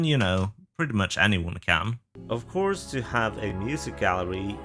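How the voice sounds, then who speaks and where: Male narrator, lowish register, highly animated pitch. Informal video-essay host, dryly sarcastic. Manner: wry, sarcastic asides.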